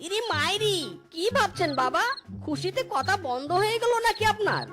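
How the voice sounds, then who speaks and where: A woman's voice: extremely high pitch, lively intonation, normal speed, over loud music. A woman voicing a foolish, eager, henpecked husband in a cartoon, with a naive delivery. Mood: eager, pleased. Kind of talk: cartoon character acting.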